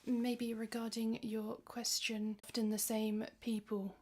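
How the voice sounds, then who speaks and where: Flat-voiced female interpreter, slightly high. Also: unhurried, crowd noise. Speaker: interpreter for a panelist. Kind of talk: simultaneous interpretation.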